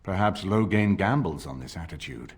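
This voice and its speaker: An actor playing an older nobleman and war leader in a video game, speaking formally and with measured authority. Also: sits low, lively intonation, a little mellow, slightly rough. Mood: grave, reasoning. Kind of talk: dramatic video game dialogue.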